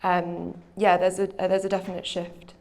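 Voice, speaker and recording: A young adult British woman, an author, talking reflectively in a stage conversation, pausing often with fillers. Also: on the low side, completely flat delivery, gravelly, darkish tone. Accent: British English. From Britain. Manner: thoughtful, hesitant, with frequent fillers.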